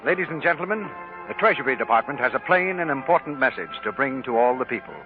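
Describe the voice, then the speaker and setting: American male announcer, formal wartime-era radio style, animated pitch, dull old recording over prominent music. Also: fast talker. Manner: formal, emphatic. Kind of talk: 1940s radio announcing, scripted. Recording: vintage 1940s radio, muffled, music.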